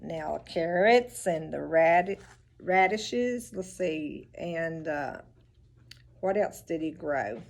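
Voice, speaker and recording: Female storybook reader for children: instructive, expressive, slow pace, lively pitch swings. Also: vocal fry. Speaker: teacher reading aloud to children. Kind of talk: storybook read-aloud with asides to children.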